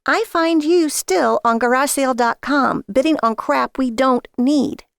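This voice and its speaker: A woman's voice: high-pitched, a little slow, creaky, no room sound, clean studio sound, pitched above the performer's own voice. A woman voicing an exasperated, nagging wife in a story, sarcastic and scolding toward her husband.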